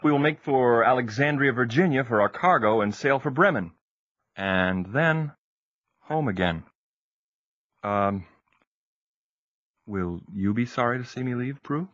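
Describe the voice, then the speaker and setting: A man's voice: mid-range voice, unhurried, long pauses, fairly bright tone, no room sound, muffled recording, close to an everyday voice. A man playing a courteous, earnest young seaman of the early 1800s in an audio drama, slightly formal and fond. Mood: earnest, tender. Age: young adult.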